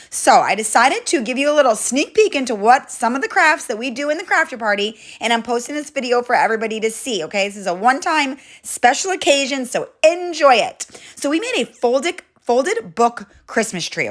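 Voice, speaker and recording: Chatty, congested woman crafter, lively pitch. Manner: chatty, friendly, step-by-step instructions with frequent "okay?". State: congested, hoarse chest. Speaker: craft channel host. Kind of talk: casual craft tutorial.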